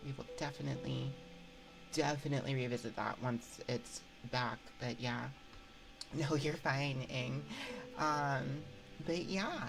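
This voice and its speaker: A woman's voice: sits low, vocal fry. A woman hosting a livestream, chatting casually with viewers in a hesitant, rambling, giggly way into a binaural ASMR microphone. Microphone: binaural 3Dio ASMR microphone. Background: music.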